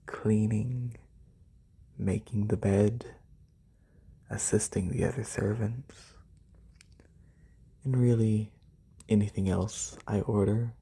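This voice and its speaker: A man playing a haughty, demanding lamia noble in a soft-spoken ASMR roleplay, formal and condescending, with a breathy, husky voice close to a binaural microphone. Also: lowish voice, heavily acted. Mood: condescending, businesslike. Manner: condescending, formal, drawn-out delivery. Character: haughty wealthy lamia noble, demanding serpent-bodied employer.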